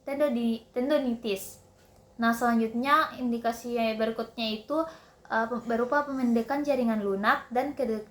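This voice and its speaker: Higher-pitched young woman, echoey room. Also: a bit bright. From Indonesia. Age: young adult. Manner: halting, often repeating and correcting words. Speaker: student presenter. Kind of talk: prepared instructional presentation. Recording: roomy, echoing indoor sound.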